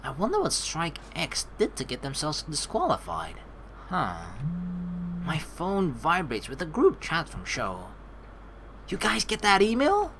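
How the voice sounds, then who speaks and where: A woman's voice: sits low, wildly swooping pitch, unhurried, fairly bright tone, slight background noise. A young woman reading a game aloud as a streamer, adding chatty, casual asides as she goes. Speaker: let's play streamer. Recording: faint game music behind.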